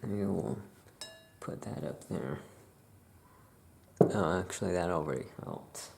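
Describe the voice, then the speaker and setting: A soft-spoken ASMR host playing a witch shopkeeper, casually improvising and thinking aloud in an unhurried, rambling way. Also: low voice, creaky, very noisy.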